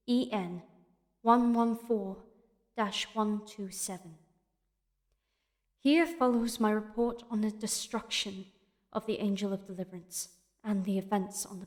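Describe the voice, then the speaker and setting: A woman playing a young, rattled, dutiful female space marine crewman in an audio drama, speaking haltingly. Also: medium pitch, a little mellow, natural voice. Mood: subdued, formal. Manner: halting, stammering under pressure. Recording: long reverb, music underneath.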